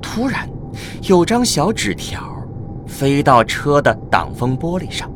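A man's voice: a little high, very animated, unhurried. A man narrating a scripted radio drama as a storyteller. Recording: clearly noisy with ambient vehicle sound.

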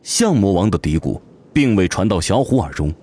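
A man delivering scripted narration as a voice actor, in a dramatic, measured storytelling manner. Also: low-pitched, very animated, a little mellow, breathy edge. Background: music.

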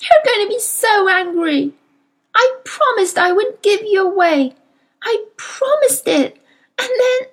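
Shrill, distraught young girl. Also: animated, slow pace, heavily acted. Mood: ashamed, tearful. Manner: rustic ungrammatical speech. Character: distraught young girl, fierce, sobbing with rage and shame. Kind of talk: dramatized audiobook character voice.

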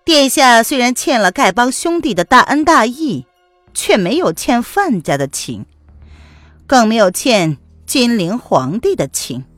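A woman's voice: a little high, big pitch swings, unhurried, a bit bright, dry recording, faint music behind, pitched above the performer's own voice. Woman doing a cool, self-assured official. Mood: cold. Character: smooth, composed court official, man.

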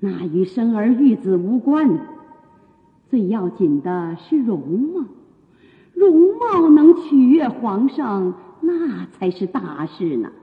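Imperious high-pitched scheming royal consort. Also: female voice, very animated, heavily acted. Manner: haughty, theatrical, drawn-out. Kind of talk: Chinese period radio drama acting. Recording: muffled phone-like band, echoing hall reverb.

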